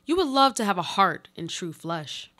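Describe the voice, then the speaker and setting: A woman's voice: lowish voice, sing-song, moderate pace, fairly bright tone. A woman acting a haunted, watchful woman in a radio play, with intense, dramatic delivery. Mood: watchful, intimate. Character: haunted, watchful woman clinging to existence through words. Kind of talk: live radio play monologue.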